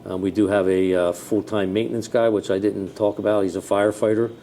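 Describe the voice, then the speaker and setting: A middle-aged American man, a fire chief, answering interview questions in a plain-spoken, matter-of-fact, conversational way. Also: fairly quick. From New Jersey, United States. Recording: steady background noise with low rumble.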